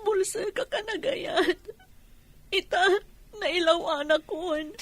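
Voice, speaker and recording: A woman's voice: extremely high pitch, takes it slowly. A woman playing a distraught, defiant young pregnant woman in a radio drama, emotional and reproachful. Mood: worried, tearful.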